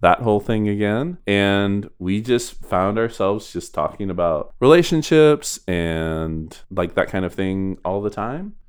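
A woman's voice: extremely low pitch, expressive, a little slow, few pauses, a little mellow, clear, resonant tone. A woman co-hosting a podcast in a casual, friendly, informal conversational style. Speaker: podcast co-host.

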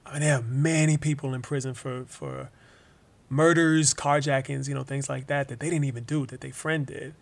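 An actor playing a weary but forgiving wrongfully imprisoned young man in an interview-style drama monologue, halting and informal, repeating words. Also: mid-range voice, fairly even intonation, creaky, close to an everyday voice. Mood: grateful, earnest.

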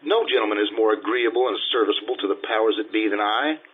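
A man plays a vain Italian social climber of forty in an old-time radio play. He talks like a fussy, self-important gentleman, with theatrical delivery and a slightly husky voice. The sound is dull and lo-fi. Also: on the low side, fast. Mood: boastful, confiding. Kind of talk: old-time radio drama acting with narration.

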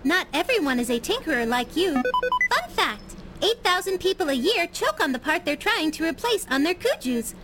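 Squeaky-high chirpy robot puppy-cat. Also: female voice, huge pitch swings, normal speed, over music, exaggerated voice. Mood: matter-of-fact. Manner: cheery, matter-of-fact recital of facts. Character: cheerful small puppy-cat robot companion, literal and chirpy. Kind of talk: comedy sci-fi audio drama acting.

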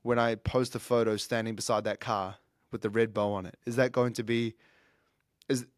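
A man's voice: fairly low pitch, level tone, moderate pace, no echo, studio quality. A man in his thirties hosting his own show, talking through life lessons in an earnest, reflective way, often restarting his sentences. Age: 30s. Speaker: podcast host, fitness coach. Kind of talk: casual monologue.

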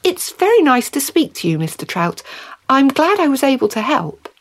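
A woman voicing a gentle, kind-hearted, wondering married countrywoman in a storybook, earnest and a little hesitant. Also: sits high, big pitch swings, moderate pace, voice pushed up. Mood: kind, cheerful.

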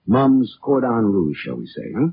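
An actor playing a wisecracking, world-weary private investigator in a 1940s American radio drama, talking in wry, casual slang. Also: male voice, medium pitch, very animated, unhurried, creaky. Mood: wry, probing. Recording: muffled, noisy vintage radio recording.

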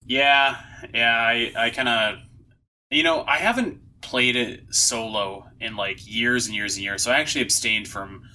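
A man hosts a casual podcast in a very bright, punchy voice. His pitch sits in the middle, and his delivery is upbeat and full of slang. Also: measured pace, rough recording. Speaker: podcast host. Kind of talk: casual chat.

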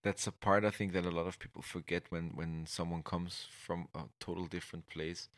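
Deep-voiced Austrian man, rambling. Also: fairly even intonation, fairly quick, no room sound. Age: middle-aged. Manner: rambling, many restarts and fillers. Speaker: guest. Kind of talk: conversational interview.

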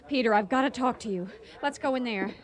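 An actress playing an excitable, vengeful restaurateur in a radio comedy, with a flustered and emphatic manner. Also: female voice, fairly high pitch, brisk, noisy background, natural voice. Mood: conspiratorial. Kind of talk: 1980 radio theater comedy acting.

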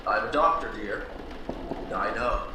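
A man's voice: on the low side, a little slow, close to an everyday voice. A man playing a patronizing, controlling physician husband in a period radio play, with a formal, cajoling, condescending manner. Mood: placating, firm. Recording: very echoey room, faint noise.

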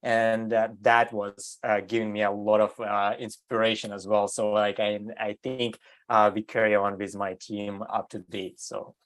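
A man's voice: lowish voice, flat delivery, measured pace, fairly bright tone. Hesitant German-raised man, guest answers. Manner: hesitant non-native English, many fillers and restarts. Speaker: design entrepreneur, guest. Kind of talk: interview answers. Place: California.

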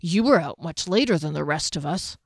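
A woman's voice: low-pitched, animated, moderate pace, creaky, no echo, studio quality, exaggerated voice. Fantasy audiobook role: surly dwarf man, acted by a woman, growly and curt with a sarcastic edge.

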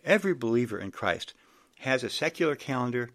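A man's voice: mid-range voice, animated, brisk, fairly bright tone. A man in his sixties preaching an earnest sermon, reading scripture aloud and exhorting his listeners. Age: 60s.

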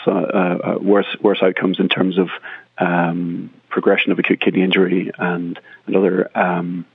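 A middle-aged man, a critical care consultant speaking in a measured way and repeating words as he thinks, in a remote podcast interview. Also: low-pitched, monotone, gravelly, husky, no echo. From Northern Ireland. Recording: tinny phone-like line, dry.

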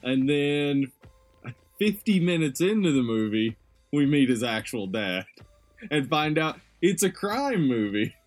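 A man with a loud voice co-hosting a podcast, chatting about a movie in an easygoing way. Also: on the high side, big pitch swings, measured pace, soft background music. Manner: easygoing, agreeable, frequent laughs and "yeah"s. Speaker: podcast co-host. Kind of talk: casual podcast chat.

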